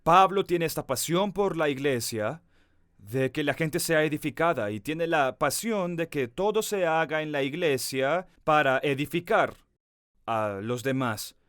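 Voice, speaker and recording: Earnest preacher, bright-toned man. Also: fairly high pitch, animated, clean tone, no echo, clean studio sound. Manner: earnest preaching, slows down on key words for emphasis; addresses the listener directly. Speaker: pastor. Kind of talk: sermon.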